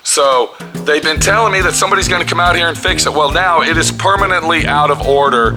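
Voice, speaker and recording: A raspy, fairly high-voiced man in his fifties rants angrily and swears constantly while filming himself up close in a big auto body garage. Music plays under his voice. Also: quick pace. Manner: heavy swearing, pissed-off ranting. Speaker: custom auto body shop owner. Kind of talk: angry workshop vlog. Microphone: camera held close at arm's length.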